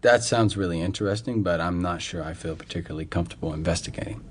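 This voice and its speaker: An actor playing a polite, hesitant young university student in a radio drama, with a reserved, deferential manner. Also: male voice, low-pitched, fast, a little hiss or hum, close to an everyday voice. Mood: hesitant, uneasy. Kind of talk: radio theatre acting, dramatic dialogue.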